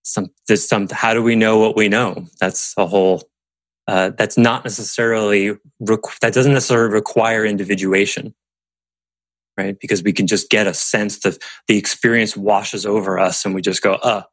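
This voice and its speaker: Low-voiced reflective male podcast host. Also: fairly even intonation, normal speed, no echo. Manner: musing, asks rhetorical questions. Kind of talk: reflective group discussion.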